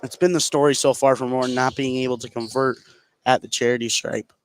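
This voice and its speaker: A man's voice: mid-range voice, fairly even intonation, brisk, clear, resonant tone, no echo. A man giving conversational, informal color commentary on a basketball game. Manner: casual, informal phrasing. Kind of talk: conversational sports analysis.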